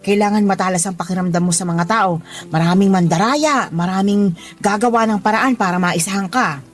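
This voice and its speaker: An actress playing a kindly married boss in a Filipino radio drama, giving firm, nurturing advice in a Filipino accent. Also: female voice, medium pitch, fairly quick, lightly acted. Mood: cautionary. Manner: advising, nurturing, firm reminders. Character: kindly, motherly married female boss teaching street-food vending. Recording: music playing behind the voice.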